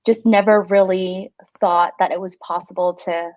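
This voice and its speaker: A woman's voice: on the low side, fairly even intonation, normal speed, darkish tone, no room sound. A woman in her thirties from Singapore, a shop owner chatting as a podcast guest in a friendly, easygoing way with lots of "like" and "um". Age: 30s. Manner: chatty and friendly, frequent "like" and "um", laughing easily. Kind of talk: casual interview conversation. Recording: slightly muffled dry audio.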